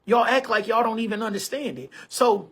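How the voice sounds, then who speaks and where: A man's voice: high voice, brisk, fairly bright tone. A man in his forties hosting an online show, talking casually to camera in an emphatic, repetitive way and often calling listeners bro and man. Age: 40s.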